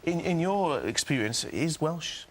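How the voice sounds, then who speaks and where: A man's voice: fairly high pitch, expressive, unhurried, slight background noise. A man presenting a regional evening news programme, chatting with his co-host and introducing a studio guest.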